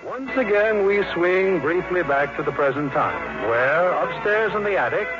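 A man hosting a 1950s radio drama, delivering scripted narration in a polished, formal manner. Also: a little high. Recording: muffled old 1950s radio recording.

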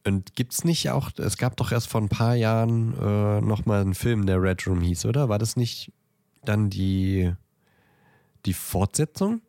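Low-voiced man, casual podcast talk. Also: a little slow, dark tone, no echo, studio quality. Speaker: podcast co-host. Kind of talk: casual chat about films.

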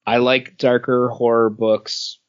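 Slow, halting man in casual chat. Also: medium pitch, flat delivery. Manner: halting, searching for words. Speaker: podcast co-host. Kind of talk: casual comic book chat. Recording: dull lo-fi recording.

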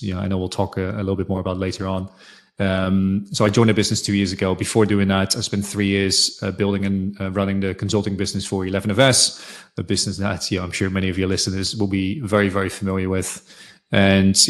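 A middle-aged man from the Netherlands, speaking conversationally as an interview guest, halting and often saying "you know". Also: low voice. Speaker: interview guest, fintech consultant. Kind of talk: conversational career account.

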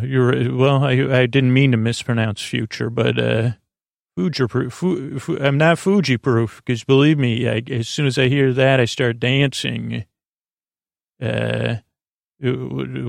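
Creaky-voiced man, rambling gently. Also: medium pitch, no echo, studio quality. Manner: gentle, halting, meandering, stammering restarts. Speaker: sleep podcast host. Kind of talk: rambling, whimsical bedtime monologue.

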